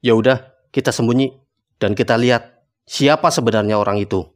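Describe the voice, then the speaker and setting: A man voicing a cautious, determined young amateur sleuth in a cartoon, with measured, casual speech. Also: mid-range voice, natural voice. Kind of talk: Indonesian cartoon dialogue, colloquial. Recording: music behind the voice.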